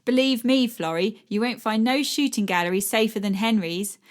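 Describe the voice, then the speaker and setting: A woman's voice: on the high side, moderate pace, lightly acted. Woman doing a chatty, brash fairground girl. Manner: chatty, brash, dialect grammar. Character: cheerful cheeky young fairground woman. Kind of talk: audiobook character voice.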